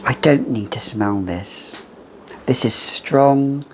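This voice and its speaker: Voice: medium pitch, slow pace, slight background noise. A perfume reviewer chatting casually and emphatically to camera, with a whimsical, conversational manner.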